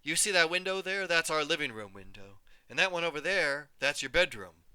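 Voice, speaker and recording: A bubbly, upbeat mother in a storybook reading, her voice lifted high and sing-song, as a man puts her on. She sounds lively and encouraging, with a bright, clean tone. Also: fairly quick, clean tone, dry recording, heavily acted. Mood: reassuring. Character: cheerful encouraging mother, middle-aged woman.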